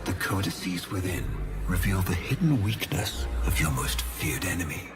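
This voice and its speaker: An actor playing a grave, aristocratic creator in a video game, making a secretive recorded confession in a hushed, deliberate, grandiose way. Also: male voice, deep voice, lively intonation. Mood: solemn, conspiratorial. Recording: loud music over voice.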